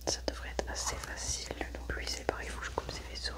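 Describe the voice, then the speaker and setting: A woman speaks in a breathy whisper with no voicing. She plays a medical examiner in an ASMR roleplay, methodically talking herself through each step. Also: fast.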